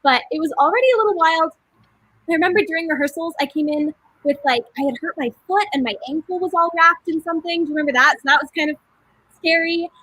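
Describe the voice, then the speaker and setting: A young woman in a cast reunion telling a story in a casual, animated way, with plenty of "like" and "um". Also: sits high, no room sound, low-quality recording. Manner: animated, with many fillers. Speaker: cast member.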